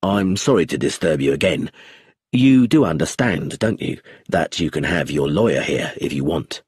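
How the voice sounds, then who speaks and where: A man voicing a calm, blunt police chief inspector in an audiobook, low, steady and abrupt. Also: moderate pace, husky, no room sound, clean studio sound, full character voice. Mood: firm.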